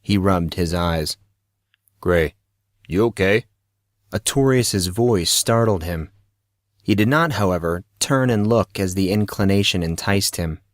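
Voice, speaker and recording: A man's voice: low-pitched, measured pace, dry recording, studio quality. A man reading scripted fiction narration as the storyteller of an audio drama.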